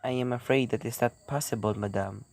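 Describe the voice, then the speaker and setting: Woman acting a very low-voiced, formal operator. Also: steady tone, a little fast, vocal fry, a little mellow, dry recording, exaggerated voice. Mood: polite, procedural. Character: formal, polite, procedural telephone and telegram operator. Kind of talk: amateur school radio play acting.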